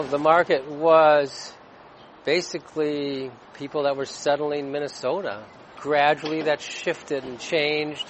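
Higher-voiced man over street noise. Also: a bit bright. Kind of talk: interview remarks. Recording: clear outdoor street noise.

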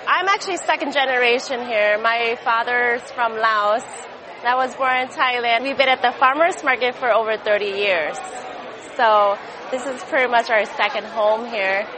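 A woman's voice: fairly high pitch, moderate pace, rough recording. A woman vendor speaking casually in an interview. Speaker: market vendor. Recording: busy crowd behind.

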